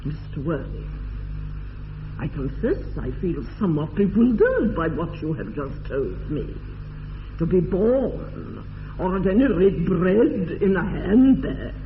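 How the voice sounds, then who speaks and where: An actress playing an imperious older English aristocrat in a radio comedy, with an upper-class English accent and pompous, deliberate enunciation. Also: female voice, lowish voice. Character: haughty, domineering older aristocratic English lady. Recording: dull lo-fi radio recording, faint noise.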